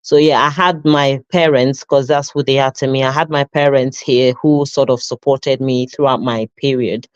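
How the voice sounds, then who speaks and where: A woman's voice: extremely low pitch, fairly even intonation, moderate pace, hardly any pauses, dry recording. Nigerian-accented woman, dull dry sound. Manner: reflective, with frequent fillers and self-corrections. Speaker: guest, custody nurse. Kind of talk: conversational life story interview.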